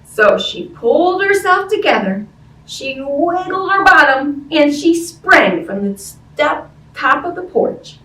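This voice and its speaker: A woman's voice: high voice, big pitch swings, slow, bright, forceful tone. A woman hosting story time for young children, reading a picture book expressively and chatting in simple, child-directed language with questions to her listeners. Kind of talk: animated picture-book read-aloud and chat to young children. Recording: roomy, distant-sounding, faint background noise.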